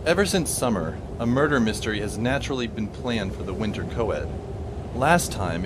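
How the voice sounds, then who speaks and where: A man's voice: fairly low pitch, background noise. A man playing a wry, sardonic teenage boy narrating a fan audio drama with dry, sarcastic asides.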